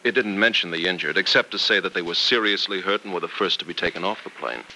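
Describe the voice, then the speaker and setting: A concerned, steady man in a vintage radio serial. His voice is fairly low, with a very sharp, forceful edge. The old recording carries slight noise. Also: quick pace, heavily acted, rough recording. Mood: earnest, reassuring. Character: concerned, steady male friend bringing urgent news. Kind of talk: old-time radio soap opera acting.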